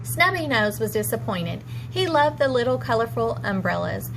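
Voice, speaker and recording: A woman reads a children's story aloud as a teacher would, in a fairly high voice. The recording carries faint background noise. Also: very animated, normal speed, gravelly, low-quality recording.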